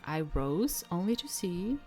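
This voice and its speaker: Slow, lowish-voiced woman reading a visual novel. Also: sing-song. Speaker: game streamer. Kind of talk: let's play, reading visual novel narration aloud with casual asides. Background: soft game music.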